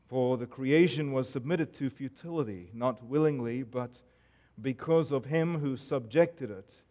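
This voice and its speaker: A man in his forties from South Africa, whose accent is a South African and American mix, preaching a sermon as guest pastor in an earnest, friendly manner with frequent "uh" fillers. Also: mid-range voice. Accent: South African mixed with American. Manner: warm, earnest, self-deprecating pulpit delivery with frequent fillers.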